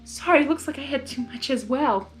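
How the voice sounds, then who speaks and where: A woman voicing a sweet female schoolteacher pony, gentle and polite, in a fan fiction reading. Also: high-pitched, normal speed, soft background music, voice pushed up, natural voice, poor audio. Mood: apologetic.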